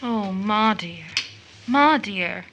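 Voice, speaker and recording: A woman's voice: mid-range voice, expressive, extremely slow, fairly bright tone, highs cut off, a little hiss or hum, full character voice. A woman playing a tender, devoted young daughter in an audio drama, emotional and soothing as she speaks to her mother. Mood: anguished.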